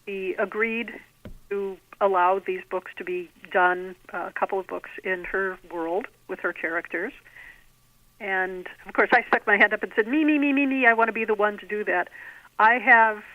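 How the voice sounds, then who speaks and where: A woman's voice: medium pitch, normal speed, no room sound. A middle-aged woman, an author giving articulate, bookish, discursive answers in an interview. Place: remote call into a podcast. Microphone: telephone. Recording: narrow tinny phone line.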